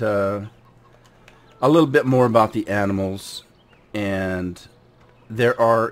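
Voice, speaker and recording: A man with a cold and a gravelly, hoarse voice, casually commenting on his farming game while often clearing his throat. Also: on the low side, a little hiss or hum. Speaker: gaming channel host.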